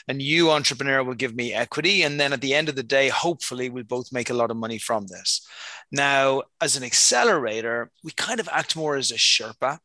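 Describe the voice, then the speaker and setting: Bright, forceful Irish man. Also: medium pitch, dry recording. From Ireland. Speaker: guest, startup accelerator founder. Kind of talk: conversational interview answers.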